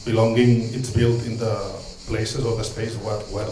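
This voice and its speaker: A man's voice: on the low side, flat delivery, measured pace, echoey room, slight background noise, low-quality recording. A man from Catalonia speaking English with a Catalan-Spanish accent, giving a hesitant, earnest talk as a street social educator from notes. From Catalonia, Spain. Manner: hesitant, many ums and restarts, warm asides.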